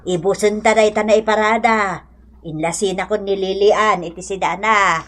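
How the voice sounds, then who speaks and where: A woman's voice: medium pitch, steady tone, moderate pace, a bit bright, lightly acted. A woman with an Ilocano accent playing an affectionate, bantering housewife in a radio comedy drama. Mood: chatty. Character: affectionate, bantering housewife serving her husband.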